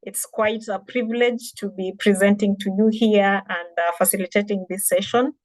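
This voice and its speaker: A woman's voice: mid-range voice, fairly even intonation, normal speed, a little mellow, no room sound, rough recording. A woman from Kenya with a Kenyan accent, presenting as a digital marketing trainer over a video call, earnest and encouraging. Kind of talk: webinar presentation.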